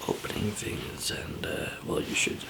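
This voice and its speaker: A man doing a whispered ASMR roleplay as a calm apocalypse survivor, murmuring in a hesitant, halting way. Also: heavy background noise. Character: calm zombie apocalypse survivor handing out supplies.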